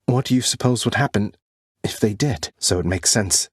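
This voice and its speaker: A man playing an earnest, defiant young prince of the underworld in a video game, speaking in formal, old-fashioned wording with halting, heartfelt delivery. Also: fairly low pitch, breathy edge, dry recording, clean studio sound. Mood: thoughtful, probing. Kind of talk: video game character dialogue, dramatic acting.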